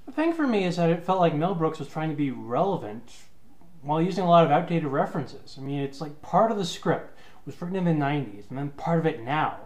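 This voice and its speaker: A man's voice: a little high, very animated, a little fast, darkish tone. A man giving sarcastic review commentary, wry and wordy in his complaints. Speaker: comic reviewer.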